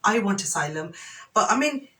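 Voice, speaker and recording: Woman, earnest, firm, explanatory, mid pitch, faint background noise. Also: lively intonation, normal speed, bright, forceful tone. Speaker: immigration lawyer guest. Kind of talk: radio discussion.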